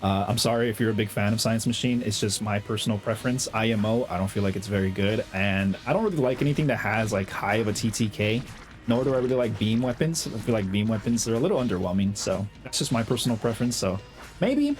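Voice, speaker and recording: A young man with a slight cold, chatting excitedly over his own gameplay as the host of a gaming channel, full of slang and fillers. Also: fairly low pitch, fast talker, faint music behind. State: has a cold, slightly hoarse. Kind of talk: casual gameplay commentary.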